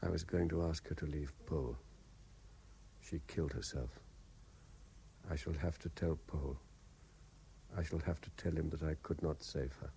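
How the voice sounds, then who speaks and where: A man's voice: very deep voice, fairly even intonation, moderate pace, creaky, long pauses, warm tone. A British actor playing a guilt-ridden, evasive man in a radio drama, with a faltering, hesitant delivery. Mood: grim, guilt-stricken. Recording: noisy vintage 1970s radio drama recording.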